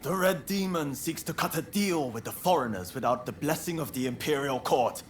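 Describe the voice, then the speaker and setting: An actor playing a fiery, proud and idealistic young samurai in a video game, with earnest, formal phrasing. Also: male voice, fairly high pitch, animated, normal speed, a little hiss or hum, lightly acted. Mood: indignant, resolute. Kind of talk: video game cutscene acting.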